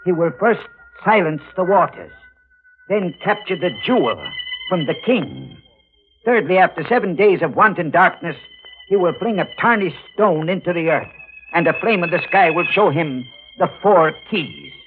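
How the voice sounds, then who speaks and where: An actor playing an earnest old town librarian with formal, halting, bookish diction in 1940s radio drama style. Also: male voice, sits high, very animated, soft background music. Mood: solemn. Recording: muffled vintage radio recording.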